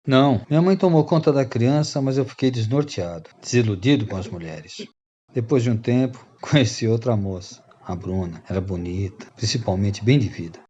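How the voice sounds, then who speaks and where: A man's voice: medium pitch, normal speed, mellow, muffled recording, slight background noise, close to an everyday voice. An actor playing a repentant Brazilian rogue and former freeloader, telling his story to friends in radio drama style. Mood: confessional.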